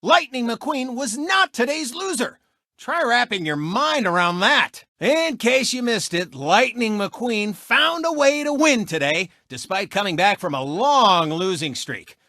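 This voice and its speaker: A man's voice: very high voice, animated, measured pace, pushed and bright, no echo, studio quality, heavily acted. A man voicing a cocky, egotistical race car who hosts a TV racing show, with boastful, mocking showboat delivery in a video game. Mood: showy announcing. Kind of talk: animated video game sportscast patter.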